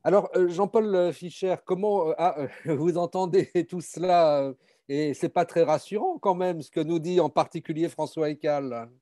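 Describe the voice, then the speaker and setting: A man's voice: fairly high pitch, normal speed, warm tone, no echo, studio quality. A man moderating a conference panel, putting his questions in hesitant short phrases.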